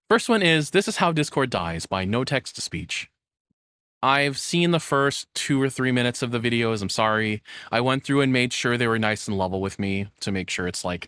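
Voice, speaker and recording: Nervous man streamer with lively, swinging pitch. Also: no echo, clean studio sound. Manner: rambling, self-deprecating. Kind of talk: casual livestream chat and reaction commentary. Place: hot home room.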